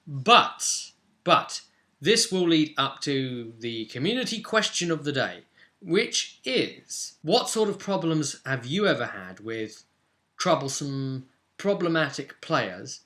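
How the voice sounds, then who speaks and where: Bright, forceful-voiced man rambling casually. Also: medium pitch. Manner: rambling, conversational, blunt with some swearing. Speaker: game master and video host. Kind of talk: casual recap of a tabletop roleplay session, to camera.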